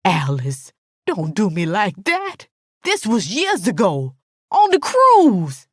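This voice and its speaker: A woman's voice: mid-range voice, huge pitch swings, slow pace, breathy edge, no echo, studio quality, heavily acted. A woman playing a guilty woman in a dramatic audiobook, imploring and placating her friend. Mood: pleading. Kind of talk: dramatic audiobook character dialogue.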